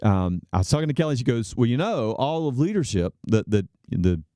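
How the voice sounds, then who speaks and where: A man in his fifties telling a personal story in casual conversation, animated and self-deprecating, laughing often and acting out the voices of the people he quotes. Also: medium pitch, fairly quick, dry recording, studio quality. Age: 50s.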